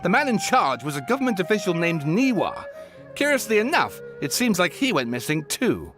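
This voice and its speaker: A man's voice: high-pitched, very animated, moderate pace. A man voicing an eager, showy writer in a video game, telling his tale with dramatic, wordy flourish. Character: theatrical male writer, eager, showy storyteller. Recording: music under the voice. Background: game music.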